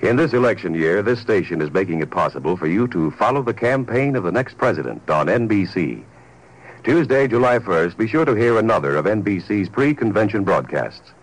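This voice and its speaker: A man's voice: on the low side, very animated, brisk, low-quality recording. A man with an American accent reading a scripted network announcement in 1950s radio style.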